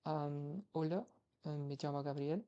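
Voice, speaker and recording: A man's voice: on the high side, fairly even intonation, a little slow, lo-fi sound. A man of twenty-one from Brazil with a Brazilian Portuguese accent, a language-learning vlogger talking hesitantly with many fillers and restarts. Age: young adult, 21. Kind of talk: casual vlog, practicing foreign languages.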